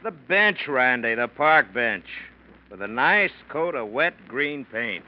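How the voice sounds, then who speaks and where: An actor playing a brisk, confident homicide chief in a 1940s radio crime drama, matter-of-fact and blunt. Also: male voice, mid-range voice, big pitch swings. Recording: muffled vintage radio recording, background noise.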